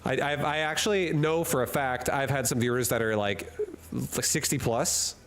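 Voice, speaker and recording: A man's voice: mid-range voice, moderate pace, fairly bright tone. Jokey rambling male game streamer. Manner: rambling and jokey, reads chat aloud and laughs often. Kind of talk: casual live-stream chat while gaming. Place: hot room at home.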